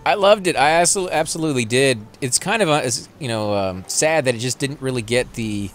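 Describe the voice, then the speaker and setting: A man's voice: mid-range voice, lively intonation, a bit bright, a little hiss or hum. A man with a Texan accent hosting a casual let's-play, chatting and rambling as he plays. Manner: chatty, rambling, quick to laugh. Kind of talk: casual gameplay commentary.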